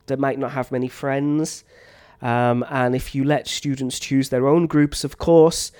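A man talks teachers through classroom activities in a relaxed, unscripted way. He fills pauses with ums and restarts his phrases, and a slight cough lingers from a chest infection. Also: mid-range voice, smooth. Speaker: science teacher. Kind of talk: teacher training talk.

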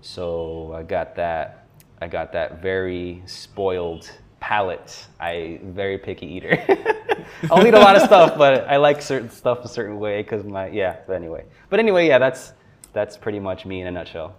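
A young man from South Florida, a tech journalist guest on a podcast, talking in a relaxed, rambling way and laughing often. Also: low voice, moderate pace. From South Florida, USA. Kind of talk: casual conversation.

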